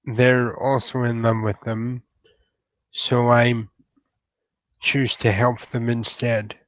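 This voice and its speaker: A man playing a shy, polite, lovelorn male troll, with halting and formal wording in a tabletop roleplay. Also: mid-range voice, flat delivery, no echo, close to an everyday voice, poor audio. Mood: shy, sad.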